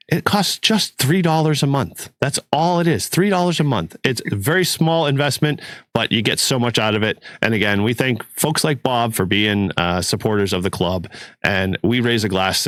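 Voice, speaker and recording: A man with a raspy, hoarse voice from a cold, co-hosting a podcast in a loose, rambling way with lots of fillers. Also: fairly low pitch, fairly bright tone, no echo. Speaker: podcast co-host. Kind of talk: casual podcast chat.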